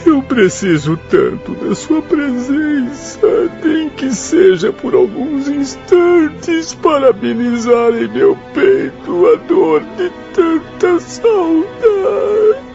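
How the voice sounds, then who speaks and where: An actor playing a grief-stricken, remorseful former professor and spirit in a radio drama, crying and pleading in literary speech. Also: male voice, extremely high pitch, big pitch swings, slow pace, creaky, hardly any pauses, a little mellow, heavily acted. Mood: sobbing, pleading. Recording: dull sound with music behind.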